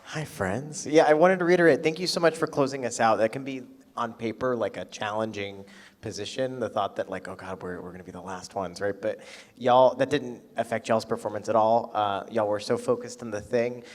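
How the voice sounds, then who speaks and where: A man's voice: on the low side, a little fast. A man giving casual feedback to performers as an adjudicator in a reverberant hall. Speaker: festival adjudicator.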